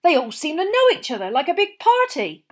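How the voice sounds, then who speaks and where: Woman playing a wary gruff campsite owner, blunt, loud, raised pitch. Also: lively intonation, a little slow, full character voice. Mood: suspicious. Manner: blunt, wary, plain-spoken. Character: suspicious gruff male campsite keeper.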